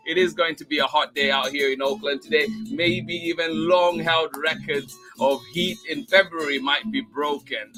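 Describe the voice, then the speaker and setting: Male story time host, American, higher register, very bright voice, effusive greeter for a kids' live stream. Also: low-quality recording. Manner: effusive, repeats thanks and greetings. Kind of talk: live stream greetings to viewers before a picture book read-aloud.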